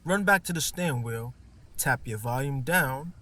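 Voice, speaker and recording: A man's voice: medium pitch, lively intonation, normal speed, bright, forceful tone. A man giving a casual, hands-on installation tutorial in an informal, slangy, playful way. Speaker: car audio installer demonstrating a product. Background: music from a car stereo.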